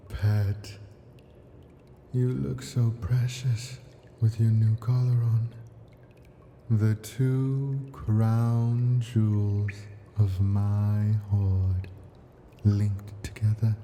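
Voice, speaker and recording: Ancient dragon played by a man for ASMR roleplay: doting but possessive captor, unhurried and deeply mellow, soft coaxing delivery. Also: fairly even intonation, a little hiss or hum. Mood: adoring, possessive. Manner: slow, coaxing, possessive endearments with soft whispers.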